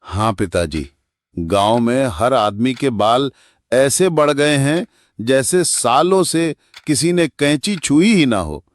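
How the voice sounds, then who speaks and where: A man's voice: medium pitch, animated, takes it slowly. A man voicing an earnest, anxious village man in a cartoon story. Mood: troubled. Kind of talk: Hindi cartoon story dialogue.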